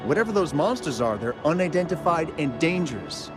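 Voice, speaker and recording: Friendly miner eyewitness, very animated intonation, excitable and chatty, video game dialogue, music behind. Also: male voice, on the high side, brisk. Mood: nervous, worried. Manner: animated, chatty, hesitant in places.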